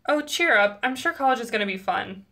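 A woman's voice: a little high, expressive, fairly quick, vocal fry, sharp tone, exaggerated voice. A woman playing a friendly, earnest teenage student in an audio drama.

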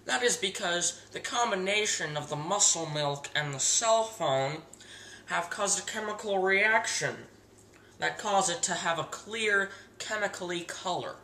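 Deadpan teen boy hosting a mock cooking show. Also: high-pitched, measured pace, slight background noise. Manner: earnest instructional tone with absurd steps. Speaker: host of a home cooking parody. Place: home kitchen, indoors. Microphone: camera mic across the kitchen. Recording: echoey kitchen, camera a bit far.

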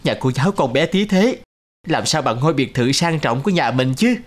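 A man's voice: mid-range voice, expressive, fairly quick, close to an everyday voice. An actor playing a proud, indulgent, middle-aged wealthy father in a cartoon. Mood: proud, amused. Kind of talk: cartoon dub acting.

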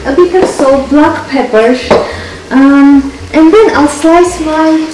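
Slow-paced woman cooking in echoey kitchen. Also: high voice, noisy background. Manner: unhurried, murmuring to self between instructions. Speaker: cooking show host. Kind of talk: casual cooking demonstration, talking while working. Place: home kitchen, indoors. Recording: roomy echoey kitchen, music behind. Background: music, clatter of dishes and pans.

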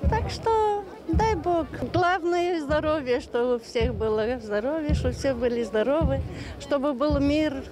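A woman's voice: sits high, expressive, measured pace, noisy background. An older woman giving a simple street vox pop at an outdoor fair. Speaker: fair visitor. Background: crowd on a street.

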